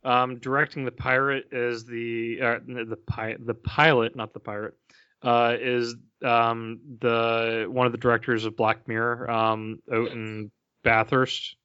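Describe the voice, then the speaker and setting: A man's voice: medium pitch, flat delivery, a little slow, sharp tone, smooth, no echo, lo-fi sound. A man co-hosting a podcast in casual chat, reading out facts hesitantly with many "um"s. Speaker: podcast co-host.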